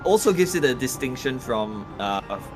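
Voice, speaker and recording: Man, casual stream co-presenter, hesitant, repeats himself, medium pitch, faint music behind. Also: sing-song, moderate pace. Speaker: co-presenter on a game project stream. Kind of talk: casual livestream chat.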